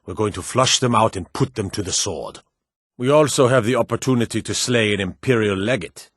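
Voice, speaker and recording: A brusque fantasy war officer acted by a man with a lowish, slightly rough voice. He delivers scripted video game briefings in a terse, commanding, businesslike way. The sound is dry and close, with little room around it. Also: brisk, no room sound, lightly acted. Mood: grim, contemptuous.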